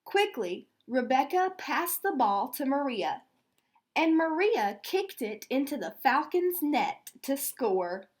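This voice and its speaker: A woman with a high voice reads aloud as an elementary school teacher. She takes her time, moving slowly and deliberately as she explains each step. Her pitch moves around a lot, giving the storytelling an animated lift.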